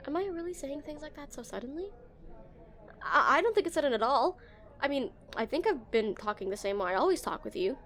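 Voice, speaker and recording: A high-pitched female voice , acted by a woman, plays an awkward game developer smitten with their date. The delivery is nervous and hesitant, full of stammers, in an intimate romantic audio roleplay addressed to the listener. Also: big pitch swings, a little fast, a bit bright. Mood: nervous, flustered.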